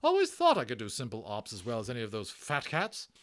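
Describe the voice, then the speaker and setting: A man voicing a hearty, bustling middle-aged British hospital matron in a storybook, with a brisk, gushing, exclamatory manner. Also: medium pitch, wildly swooping pitch, a bit bright. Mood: flustered, exhilarated.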